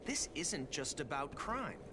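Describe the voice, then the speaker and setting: A man's voice: fairly high pitch, sing-song, measured pace, very noisy. A man playing a brainy, slightly anxious teenage mutant turtle in a video game, earnest and analytical. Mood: earnest, uneasy. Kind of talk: video game cutscene acting. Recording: music behind the voice.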